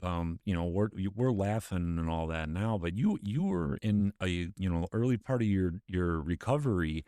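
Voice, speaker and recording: A deep-voiced man hosting a relaxed interview. He speaks in a halting way, restarting his sentences and repeating words as he encourages his guest. The recording is dry and close. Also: smooth, no echo. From Michigan. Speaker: podcast host. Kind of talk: casual interview.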